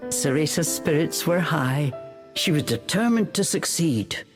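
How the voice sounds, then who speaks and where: A woman narrating a fairy tale for a video game in an expressive, measured storytelling manner. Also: low voice. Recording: faint music behind the voice.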